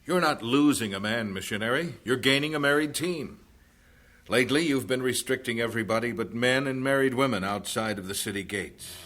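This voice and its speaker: An actor playing a kind, steady young missionary who talks in a calm, reassuring way. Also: male voice, medium pitch, a little fast, natural voice. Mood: calm, persuasive.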